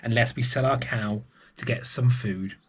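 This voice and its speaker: A man's voice: medium pitch, steady tone, moderate pace, slightly rough, close to an everyday voice. A man voicing a poor, anxious and despairing mother in a children's storybook, with a woeful manner.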